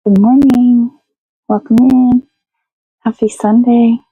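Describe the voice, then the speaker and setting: A woman's voice: a little high, steady tone, takes it slowly, slightly rough, dry recording, narrow, tinny audio. A woman hosting a casual puppet show livestream, chatting in an informal, rambling way.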